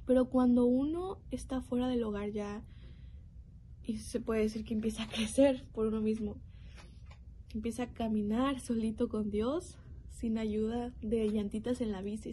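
A woman's voice: fairly high pitch, steady tone, mellow, a little hiss or hum, poor audio. Earnest young woman testifying. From Mexico. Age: young adult. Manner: earnest, reflective, with pauses and restarts. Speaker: youth program speaker. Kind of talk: personal testimony.

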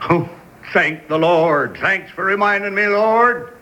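An actor playing a gleeful, sly Old West swindler in a radio drama, with a folksy American way of talking. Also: male voice, high voice, takes it slowly, bright, forceful tone, lo-fi sound, exaggerated voice. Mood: scheming, exultant. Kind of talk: 1970s Western radio drama acting. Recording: slightly noisy old radio broadcast.

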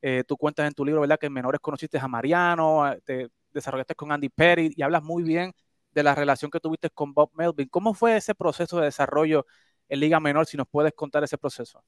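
A man's voice: a little high, fairly even intonation, a little fast, dry recording, studio quality. A man hosting an interview, asking long questions with frequent tag questions. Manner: chatty, long questions with repeated "¿verdad?" tags. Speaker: interviewer, host.

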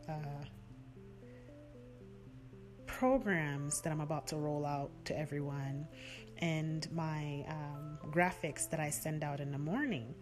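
A woman hosting her own podcast, talking alone in an earnest, heartfelt way and affirming what she believes. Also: low voice. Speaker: podcast host. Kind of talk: solo reflective podcast talk.

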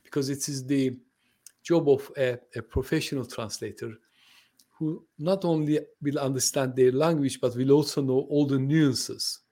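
A man's voice: mid-range voice, warm tone. A man born in Turkey, a native Turkish speaker, talking as a podcast guest in a friendly, hesitant way, with many fillers and restarts. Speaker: podcast guest, translation company owner. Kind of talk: conversational interview. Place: lives in the UK.

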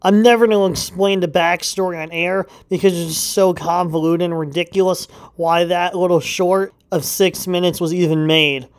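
A man's voice: sits high, fairly even intonation, normal speed, few pauses, clear, resonant tone. A man hosting a solo podcast, talking in a casual way. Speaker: podcast host.

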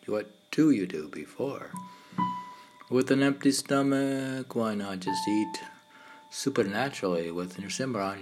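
A man reads his poetry at a very slow pace in a fairly low voice. His delivery is halting and meditative, slipping into informal asides. An instrument plays clearly behind him. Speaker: poet reading own poems.

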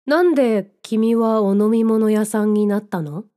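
A woman playing a gentle, dreamy young narrator in a scripted radio drama, with a soft, reflective storytelling manner. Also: mid-range voice, unhurried, close to an everyday voice. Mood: warm, curious.

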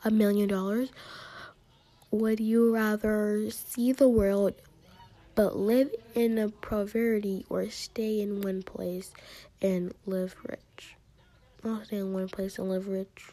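A teenage girl vlogger with a high-pitched voice chats casually, reads questions aloud and answers them herself, and often drops into soft near-whispered asides. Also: level tone, slow, poor audio.

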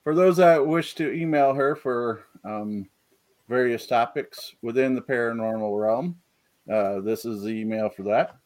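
A man hosting a casual livestream podcast from home, talking haltingly with lots of fillers and reading out viewer comments. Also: medium pitch, darkish tone. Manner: halting, many fillers, reads chat comments. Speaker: podcast host. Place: home, rural.

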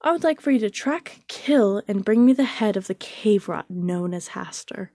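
A woman reading as a cruel sorceress queen in a storybook reading, haughty and mocking as she gives orders. The voice is dark and mellow and sits close and dry, with no room around it. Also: medium pitch, animated, moderate pace, breathy edge, no room sound. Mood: imperious.

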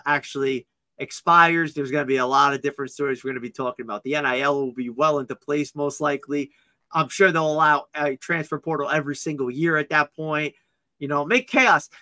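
Bright upbeat male podcast host. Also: on the high side, no echo. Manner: upbeat, joking, quick asides. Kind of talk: casual sports chat.

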